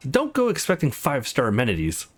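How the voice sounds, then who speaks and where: A man voicing a tough but secretly soft young woman in a visual novel, blunt, sarcastic and reluctant. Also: mid-range voice, wildly swooping pitch, quick pace, slightly rough. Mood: grudging.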